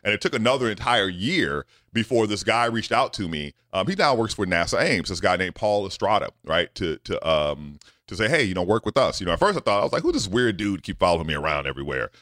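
A middle-aged man speaks in a lowish voice that rises and falls with a lot of life. He tells his story animatedly and keeps checking in with "right?". The sound is dry and close. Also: fast, few pauses, no room sound. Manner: animated, with many repeated "right?" asides. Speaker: guest scientist. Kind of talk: storytelling in a podcast interview.

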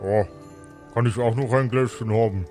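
A man's voice: medium pitch, steady tone, moderate pace, darkish tone, soft background music. A man improvising dialogue and small actions as a player in a fantasy role-play.

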